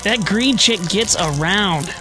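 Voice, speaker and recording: A woman's voice: mid-range voice, animated, slow pace, over music. A woman playing a plucky, worried youngster survivor in a horror-comedy radio play.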